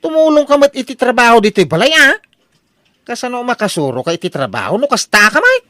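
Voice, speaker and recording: A woman's voice: a little high, very animated, brisk, pushed and bright, no room sound, clean studio sound. A woman playing an exasperated householder, scolding and complaining in an Ilocano comedy radio drama.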